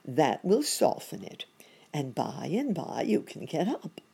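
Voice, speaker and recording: Woman as a kindly, unhurried gentleman rabbit, low-voiced. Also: wildly swooping pitch, creaky, breathy edge, full character voice. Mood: reassuring. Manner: reassuring, polite, unhurried. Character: kindly, courteous old gentleman rabbit, calm helper.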